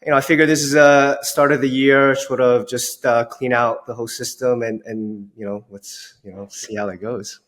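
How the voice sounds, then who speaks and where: A man in his forties speaks as an interview guest at a middling pitch. He is from Long Island, New York. His storytelling is casual and conversational, dotted with "you know". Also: little pitch movement, normal speed, few pauses, fairly bright tone. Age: 40s. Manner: informal, says "you know" very often.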